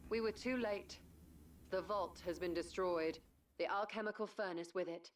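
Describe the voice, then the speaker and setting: An actress playing a composed, serious ally in a video game, speaking in a measured, formal way. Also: female voice, lowish voice, far from the mic, slight background noise, close to an everyday voice. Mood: grave. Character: grave, composed female ally reporting bad news. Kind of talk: video game dramatic acting.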